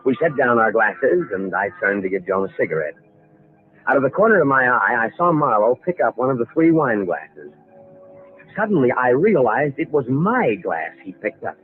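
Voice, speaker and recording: A man's voice: medium pitch, big pitch swings, moderate pace, lightly acted. An actor playing a calculating, nervy impostor heir who narrates his crime in an old radio thriller. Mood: anxious, calculating narration. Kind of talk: old-time radio thriller acting and first-person narration. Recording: vintage radio recording, narrow tinny band, music.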